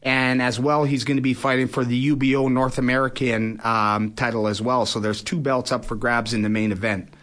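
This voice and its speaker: A man's voice: mid-range voice, level tone, a little fast, hardly any pauses, a bit bright. A man, speaking plainly and emphatically as a boxing promoter and fighter in a conversational interview.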